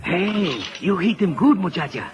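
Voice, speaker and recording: An actor playing a helpful, excitable Mexican bartender with a Mexican accent and broken English in a 1940s radio drama. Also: male voice, high voice, unhurried. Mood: nervous, excited. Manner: broken English, sprinkled Spanish. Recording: dull old radio broadcast.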